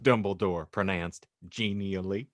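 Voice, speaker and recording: A man's voice: low voice, fairly even intonation, unhurried, no echo. A man narrating a comic audiobook with mock-earnest, theatrical delivery. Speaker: podcast host and narrator.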